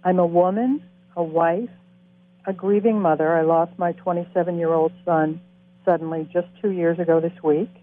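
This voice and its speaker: A woman in her sixties from Boston speaks earnestly and directly, her voice sitting low. The sound is dry and thin, carried over a phone line. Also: steady tone, moderate pace, no echo. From Boston, USA. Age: 60s. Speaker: coach educator. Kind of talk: panel self-introduction. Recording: tinny phone-line audio.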